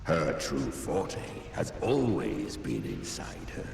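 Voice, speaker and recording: An actor playing a grave, ominous warrior in a fantasy game, speaking in a weighty, solemn way with a gravelly voice. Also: male voice, huge pitch swings, slow pace, a little mellow, exaggerated voice. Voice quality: deep, gravelly. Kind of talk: dramatic fantasy game acting. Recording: cavernous long reverb, distant, faint music.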